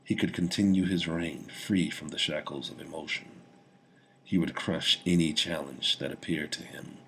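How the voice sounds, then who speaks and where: A man's voice: extremely low pitch, steady tone, moderate pace, fairly bright tone, slight background noise, rough recording. A man reading his own fantasy story aloud as dramatic audiobook narration.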